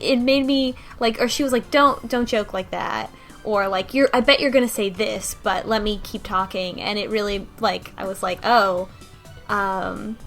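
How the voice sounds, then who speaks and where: A woman's voice: mid-range voice, a bit bright. A woman talking casually in a group discussion about a game, rambling with many restarts and fillers. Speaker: podcast co-host discussing a game.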